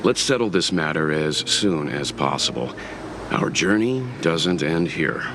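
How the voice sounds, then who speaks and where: An actor playing a steady, wise, supportive travelling companion in a video game, speaking earnestly and reassuringly. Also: male voice, a bass voice, measured pace, exaggerated voice. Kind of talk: scripted game character dialogue. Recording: clear background noise.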